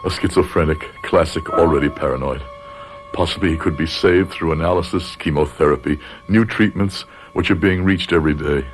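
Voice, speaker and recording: An actor playing a grave, dying older professor, narrating a radio drama in solemn, measured, haunted phrases. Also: male voice, extremely low pitch, faint music behind, rough recording. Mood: pained, confessional.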